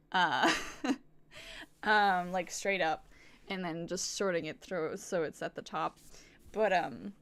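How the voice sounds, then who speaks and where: A woman's voice: medium pitch, vocal fry, fairly bright tone. A woman streaming games, chatting casually in a rambling, giggly way that circles back on itself. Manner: rambling, giggly, repeats herself. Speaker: video game streamer. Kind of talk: casual livestream chat.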